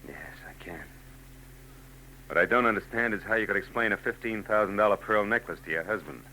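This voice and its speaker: A wry hard-boiled private eye. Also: male voice, on the low side, a little fast, bright, forceful tone, low-quality recording. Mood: probing. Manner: dry, sardonic. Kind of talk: 1940s radio acting. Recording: old radio broadcast with hum.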